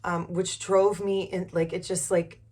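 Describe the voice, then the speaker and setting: A middle-aged woman with a hoarse voice tells a personal story in a candid, informal, casual way with some mild swearing. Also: lowish voice, little pitch movement, unhurried, darkish tone. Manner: candid, informal, mildly profane. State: hoarse from a recent illness. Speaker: vlogger.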